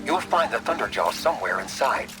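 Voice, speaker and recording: Man playing a learned older mentor, patient, erudite, mid-pitched, expressive intonation, game cutscene, music behind. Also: natural voice. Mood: thoughtful. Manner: measured, erudite, explanatory. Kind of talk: animated game cutscene acting.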